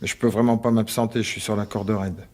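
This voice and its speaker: An actor playing a harried husband and anxious office employee in a French radio drama, speaking hesitantly and defensively. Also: male voice, fairly low pitch, level tone, fast talker, a little mellow, close to an everyday voice. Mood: defensive, pleading.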